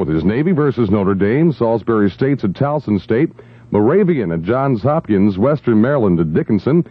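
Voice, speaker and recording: A man's voice: fairly low pitch, breathy edge. A man working as a 1970s top-40 radio disc jockey, delivering jokey patter with wisecracking asides. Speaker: overnight radio DJ. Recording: dull 1970s radio aircheck over loud music. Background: pop records and jingles.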